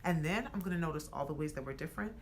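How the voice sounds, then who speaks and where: An American woman talking casually and with emphasis, often acting out quoted speech and rising to animated peaks. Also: deep voice, racing, slight background noise. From United States. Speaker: podcast co-host. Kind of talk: casual conversation.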